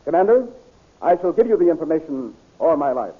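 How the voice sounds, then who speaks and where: Animated, earnest radio-serial hero. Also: male voice, fairly high pitch, brisk, loud music behind the voice. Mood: fervent, loyal. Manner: earnest, theatrical, deferential. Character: brave, devoted male officer, sometimes posing as humble peddler. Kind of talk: 1930s radio acting. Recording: muffled tinny old radio recording.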